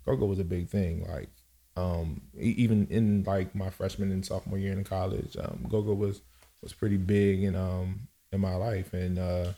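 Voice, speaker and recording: A man of about thirty-one from Cameroon talks as a podcast guest. His voice is low and soft-edged, with a relaxed tone. He chats casually, often pausing to hunt for the next word. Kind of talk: casual chat.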